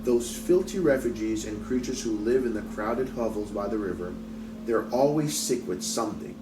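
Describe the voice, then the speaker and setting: A man's voice: fairly low pitch, lively intonation, normal speed, distant mic, noisy background, close to an everyday voice. Man playing a haughty, prim society matron, echoey. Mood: indignant. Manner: prim, disdainful. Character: haughty wealthy matron, snobbish and fluttery. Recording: roomy echo, off mic, music behind.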